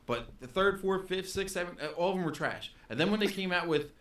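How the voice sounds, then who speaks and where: A man's voice: high-pitched, lively intonation, brisk, fairly bright tone, echoey room. A man co-hosting a podcast, chatting casually about pop culture in an emphatic, slangy, opinionated way. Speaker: podcast co-host.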